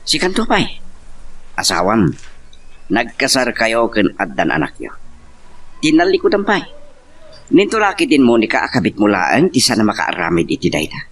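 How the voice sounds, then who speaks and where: A man plays a well-meaning go-between in a radio love drama, speaking in a low, husky voice that swings widely. He questions and exclaims, pressing his advice earnestly. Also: huge pitch swings, moderate pace, pauses often, fairly bright tone, heavily acted. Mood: urging. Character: earnest male friend, a go-between giving advice and help. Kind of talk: amateur radio-style love drama acting.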